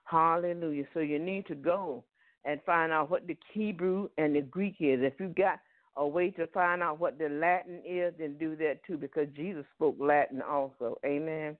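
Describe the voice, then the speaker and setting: Southern male preacher, slightly high voice, tinny phone-line audio, dry sound. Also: fairly even intonation, normal speed, dry recording. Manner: peppers speech with "Amen" and "Hallelujah". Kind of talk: Bible teaching sermon.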